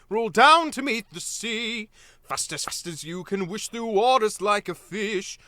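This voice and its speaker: A man's voice: high-pitched, vocal fry, no room sound, pitched above the performer's own voice, exaggerated voice. A man voicing a boisterous male shrew chieftain who bellows orders and sea shanties. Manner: loud, jolly, bellowing. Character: boisterous male shrew chieftain, a hearty bass-voiced sailor.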